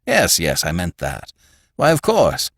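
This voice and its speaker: A man's voice: mid-range voice, huge pitch swings, normal speed, no room sound, clean studio sound, voice pushed up. A man voicing a genial, fussing older magazine publisher in a storybook, with a hearty, cajoling, emphatic manner. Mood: soothing.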